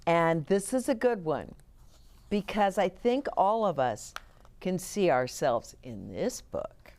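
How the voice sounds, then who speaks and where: A woman reading picture books aloud to children in an expressive way, chatting to her young listeners as host. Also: on the low side, takes it slowly. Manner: expressive, explains words and chats to young listeners. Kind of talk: animated children's read-aloud with asides.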